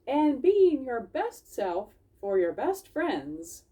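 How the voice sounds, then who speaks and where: A wise female red fox from a children's storybook, acted out by a woman reader. She is unhurried and gently teacherly, with expressive rises and falls in pitch. She sounds a touch away from the microphone. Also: far from the mic, muffled recording. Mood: kindly.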